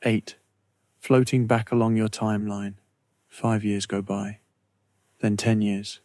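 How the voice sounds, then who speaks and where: A man narrating a scripted guided meditation in a slow, measured, soothing way with long pauses. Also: lowish voice, little pitch movement, a little mellow, no room sound.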